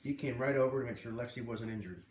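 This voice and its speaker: A man reading a children's novel aloud in an expressive, animated way, with casual asides. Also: medium pitch, fast. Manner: animated, puts on character voices. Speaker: read-aloud host. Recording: roomy, distant microphone.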